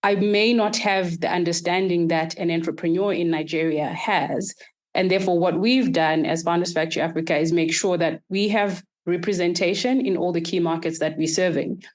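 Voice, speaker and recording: A woman from Zimbabwe, answering podcast interview questions in a measured, structured way. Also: fairly low pitch, darkish tone. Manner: measured, structured, explains in lists. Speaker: guest, startup accelerator executive.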